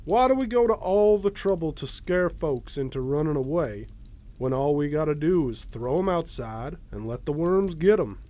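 Actor as puzzled backwoods Southern son. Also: male voice, fairly high pitch, lively intonation, moderate pace, dark tone, close to an everyday voice. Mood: puzzled, complaining. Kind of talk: radio horror drama acting.

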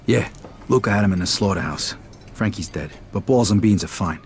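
Man playing a low-voiced young mobster. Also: brisk, slight background noise, natural voice. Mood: tired, defensive. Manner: casual, streetwise, clipped. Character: young tough American mobster, plainspoken ex-convict. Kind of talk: game cutscene acting.

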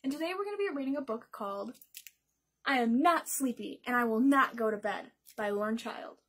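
A young woman with a high voice reads a children's picture book aloud. She hosts a storytime and speaks expressively. She addresses her young listeners directly. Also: animated, a little fast, breathy edge. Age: young adult. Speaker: library storytime host. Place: small bedroom indoors.

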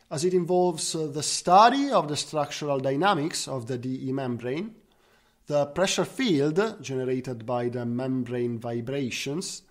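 A man presenting scientific research as a conference speaker, in the style of a technical slide talk. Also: a little high. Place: recorded online slide talk.

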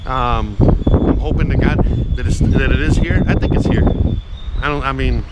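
A man's voice: medium pitch, moderate pace. A man in his thirties rambling casually to an arm's-length camera while walking outdoors on a sunny city street. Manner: rambling and chatty, frequent fillers. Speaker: vlogger. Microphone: action camera held at arm's length. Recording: loud street and wind noise.

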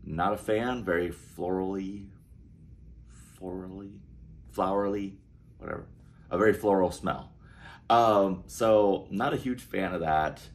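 A man's voice: deep voice, unhurried, long pauses. A man in his forties giving a casual, upbeat walk-through review of a hotel room, recorded on a handheld phone indoors. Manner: chatty, upbeat walk-through with frequent asides. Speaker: casino vlogger. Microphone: handheld phone camera.

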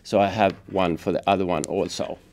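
A middle-aged man giving a casual hands-on tutorial, explaining step by step in a halting way. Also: low-pitched, steady tone, a little mellow, slight background noise. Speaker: host of a how-to motorcycle gear channel. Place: indoors, office workshop room at a table.

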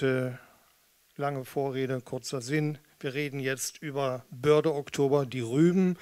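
A man in his sixties from eastern Germany talks from a stage between performances. He tells things casually and wryly, in halting, drawn-out explanations, speaking closely into a microphone. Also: medium pitch. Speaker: spoken-word performer and musician introducing pieces. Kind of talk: casual, storytelling stage introduction. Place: small theatre or cinema hall with red seats. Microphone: studio microphone on stand with pop filter, close.

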